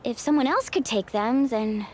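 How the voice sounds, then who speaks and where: A woman's voice: on the high side, expressive, moderate pace, a little mellow, a little hiss or hum, close to an everyday voice. A woman voicing a confident, upbeat, teasing young warrior in a video game. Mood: teasing, persuasive.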